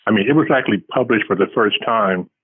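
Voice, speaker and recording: A man's voice: on the low side, fast, slightly rough, no room sound. A man of about seventy giving casual, modest and reflective interview answers as a writer, heard over a tinny phone line. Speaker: guest, science fiction writer.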